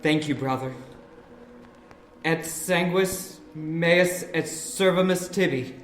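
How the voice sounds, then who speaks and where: Guy acting obedient young initiate, solemn, slow talking, echoey room. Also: on the high side. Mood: reverent, solemn. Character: earnest young initiate, obedient son of cult leader. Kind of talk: audio drama acting. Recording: echoey room with faint noise.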